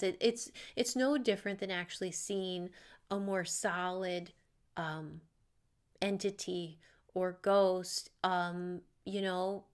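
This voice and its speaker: A woman working as a psychic medium, talking casually through viewer questions in a loose, chatty way with many fillers and asides. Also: mid-range voice.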